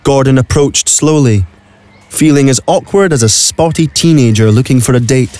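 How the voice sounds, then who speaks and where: A man's voice: mid-range voice, lively intonation, normal speed, darkish tone, no room sound, natural voice. A man narrating a scripted audio drama as the storyteller.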